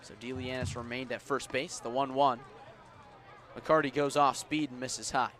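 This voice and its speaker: A man doing live radio play-by-play of baseball as the announcer, with a measured delivery that rises on the action. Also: medium pitch, level tone, fairly bright tone. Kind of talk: live radio sports commentary. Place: outdoors at a baseball stadium. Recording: outdoor ballpark ambience noise behind.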